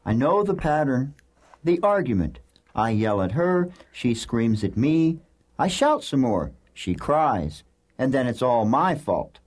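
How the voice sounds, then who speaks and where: A man's voice: medium pitch, very animated, unhurried, darkish tone. A man playing a put-upon, resigned middle-manager husband in an audio drama, weary and defensive.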